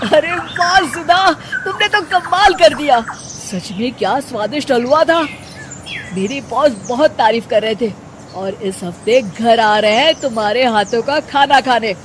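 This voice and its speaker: A woman's voice: on the high side, lively intonation, measured pace, slight background noise. A woman voicing a childish, pampered young man in a cartoon family story. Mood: delighted, sorry.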